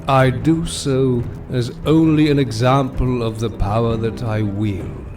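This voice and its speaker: An actor playing a commanding, menacing mutant supervillain giving a grandiose, deliberate speech in an audio drama. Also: male voice, medium pitch. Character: commanding mutant supervillain declaring his supremacy to the world. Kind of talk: audio drama villain monologue.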